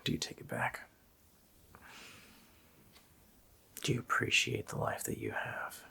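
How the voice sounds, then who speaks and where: A wicked, child-snatching Christmas demon in an ASMR roleplay. The voice is a sharp, hissing whisper that breaks into gravelly fry. He taunts slowly, with mocking menace. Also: lowish voice, exaggerated voice. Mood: menacing. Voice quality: breathy, gravelly rasping whisper.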